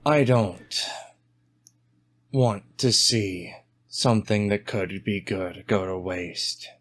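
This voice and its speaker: A cryptic male cyberpunk operative, played by a man at a game table. He talks in a low voice, calm and deliberate, with understated self-assurance. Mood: calm, certain.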